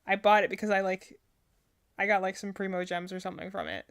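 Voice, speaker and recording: A woman's voice: medium pitch, steady tone, quick pace, vocal fry, clear, resonant tone. A woman streamer giving casual, rambling reaction commentary on video game trailers, thinking aloud as she goes.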